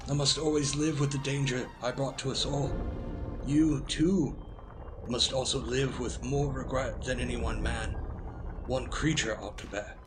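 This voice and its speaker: Man acting as ancient wizard, male, grave, proud, ceremonious, medium pitch, audio drama. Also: steady tone, a little slow, over music, natural voice. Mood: regretful, earnest. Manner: formal, ceremonious, stately speech. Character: ancient Merlin, grave male founder and commander, weary, proud.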